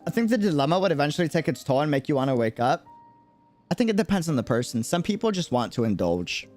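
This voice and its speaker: Animated, musing male game streamer. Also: fairly high pitch. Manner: musing, halting, often seeking agreement. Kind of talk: casual live stream commentary. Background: game music.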